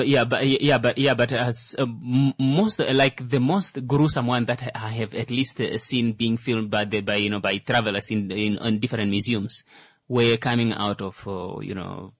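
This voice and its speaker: A man's voice: mid-range voice, fairly even intonation, unhurried, no room sound. A man, co-hosting a casual studio discussion and telling stories hesitantly, with lots of fillers and restarts. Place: broadcast studio.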